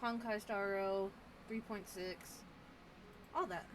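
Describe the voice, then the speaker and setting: A woman giving casual gameplay commentary in a halting way, often trailing off mid-thought. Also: mid-range voice, steady tone, a little hiss or hum. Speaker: gamer recording a playthrough.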